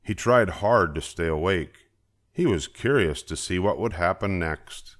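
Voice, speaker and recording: Older male storybook narrator, very low voice, expressive read-aloud for young children. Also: measured pace. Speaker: storybook reader.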